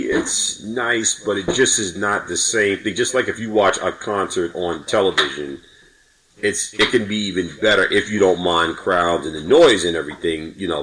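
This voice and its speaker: A man's voice: sits low, fairly bright tone, low-quality recording. A man talking casually as a podcast guest, giving hedging, roundabout explanations and recollections.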